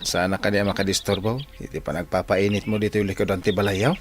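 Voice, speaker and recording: An actor playing a polite, conciliatory husband and neighbor in an Ilocano radio drama, speaking in a measured way. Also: male voice, low voice, little pitch movement, music in the background, natural voice.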